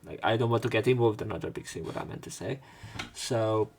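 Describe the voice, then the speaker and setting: A man's voice: fairly low pitch, fast, a little mellow. A man doing a let's play, reading a visual novel's narration and dialogue aloud.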